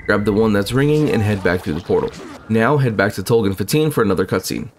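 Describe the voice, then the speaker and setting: Guy doing a quick game walkthrough voice-over, lowish voice, close mic, faint hum behind. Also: animated. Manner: brisk, instructive, matter-of-fact. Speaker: gaming channel host. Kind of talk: walkthrough narration.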